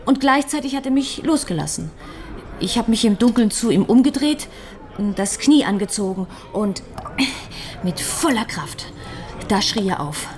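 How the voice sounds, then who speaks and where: An actress playing a shaken but composed widow in a radio crime drama, recounting things in a halting, deliberate way. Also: female voice, a little high, big pitch swings, a little mellow.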